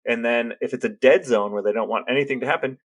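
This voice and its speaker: American man, medium pitch, podcast co-host, casual explanatory chat, measured and matter-of-fact.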